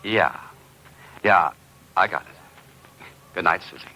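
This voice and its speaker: Low, laconic radio adventurer. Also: male voice, unhurried. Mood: patient, puzzled. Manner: laconic, dry. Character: tolerant, weary male adventurer humouring his secretary. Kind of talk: 1950s radio drama acting. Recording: very noisy old radio recording.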